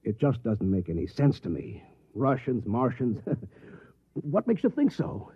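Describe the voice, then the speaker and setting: Bewildered American husband, middle-aged, urgent and husky, on thin vintage radio. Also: male voice, medium pitch, huge pitch swings, a little slow, full character voice. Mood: incredulous. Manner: urgent, questioning, emphatic. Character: tense, bewildered suburban husband caught in a repeating day. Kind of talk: old-time radio drama acting. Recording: tinny, band-limited vintage radio recording.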